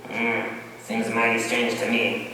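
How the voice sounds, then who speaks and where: Man acting folksy old codger, sharp bright voice. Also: medium pitch, completely flat delivery, slow pace, far from the mic. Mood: suspicious. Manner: folksy, cocky, suspicious. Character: spry, folksy, jealous old school custodian man. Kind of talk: 1940s-style radio comedy stage acting. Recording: echoey hall from afar, faint noise.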